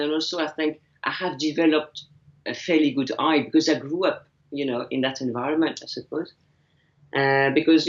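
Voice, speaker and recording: French woman on a dull video call. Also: low-pitched. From France. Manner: hesitant, many fillers. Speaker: guest.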